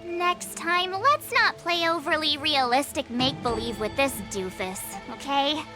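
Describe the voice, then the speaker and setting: A very high-pitched, perky teenage girl from a video game, bubbly and a little cheeky. She is an eager young apprentice swordfighter, and music plays clearly behind her. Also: expressive, measured pace. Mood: playful.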